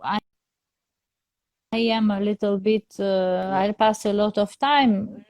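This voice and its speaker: Slow, hesitant woman in a dry recording. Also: mid-range voice, flat delivery, no room sound. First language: not English. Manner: hesitant, many fillers and restarts, laughs softly. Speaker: soprano opera singer, interview guest. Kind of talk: casual interview conversation in English.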